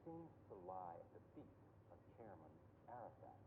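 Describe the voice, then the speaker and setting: A man's voice: low voice, big pitch swings, moderate pace. A man conducting a political interview in a conversational but pointed way, challenging what he hears. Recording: noisy, narrow phone-like radio sound.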